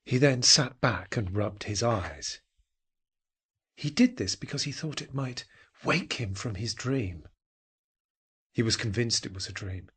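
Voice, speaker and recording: A man reading a dark short story as a dramatic narrator, in a brooding, theatrical manner that can drop towards a whisper. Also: medium pitch, expressive, a little slow, pauses often, breathy edge.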